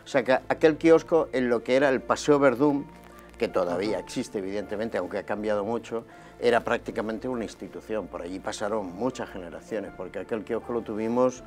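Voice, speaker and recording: A man in his fifties from Barcelona, a guest telling his life story in a relaxed, conversational way, recorded on a lapel mic in a small TV studio. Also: on the low side, a little fast, faint music behind. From Barcelona, Spain. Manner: relaxed and conversational, gesturing as he talks.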